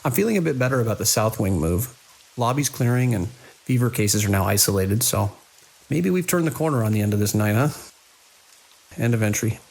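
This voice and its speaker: A man playing a weary, caring middle-aged hospital director in an audio drama, measured and dry with small hesitant pauses. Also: lowish voice, expressive, vocal fry, a little mellow, poor audio. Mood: weary, reflective.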